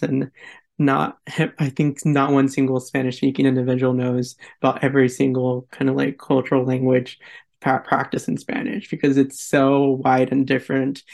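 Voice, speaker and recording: A man's voice: mid-range voice, vocal fry, a little mellow. A young man, a medical student, answering an interview question with lots of hedging fillers. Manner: hedging, many fillers like "kind of, like". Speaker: medical student, program co-founder.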